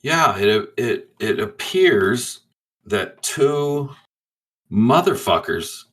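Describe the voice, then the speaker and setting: A man roleplaying in a tabletop game, telling his story in a halting, laid-back, profane way. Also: mid-range voice, big pitch swings, fairly bright tone. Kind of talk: casual in-character storytelling.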